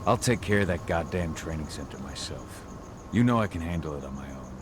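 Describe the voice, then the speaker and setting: A man's voice: a bass voice, lively intonation, a little fast, noisy background. An actor playing a tough, brooding exiled warrior and protective father, terse and intense, in a video game cutscene. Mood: determined. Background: cinematic background music.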